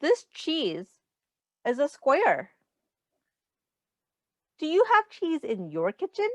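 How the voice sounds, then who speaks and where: A woman's voice: sits high, wildly swooping pitch, slow, dry recording. A woman reading a picture book aloud as a teacher, explaining simply to young children and asking them questions. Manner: simple instructive phrasing aimed at young children.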